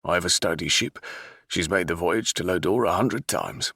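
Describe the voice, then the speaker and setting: Man doing a gruff older sea captain. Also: low-pitched, moderate pace, bright, forceful tone, dry recording, studio quality, exaggerated voice. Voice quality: gruff, growling. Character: older gruff male ship captain, wary.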